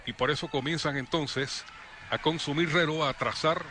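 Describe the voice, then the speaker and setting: A man calling play-by-play for a youth football match, brisk and lively on attacks. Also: on the high side. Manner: brisk, rising excitement on attacks. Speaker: play-by-play commentator.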